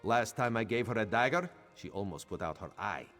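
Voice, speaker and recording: A man's voice: lowish voice, animated, fairly quick, a bit bright. A man voicing a sly male cat-folk thief companion who speaks of himself in the third person, as AI-generated game audio. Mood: scheming. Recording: game audio over faint music.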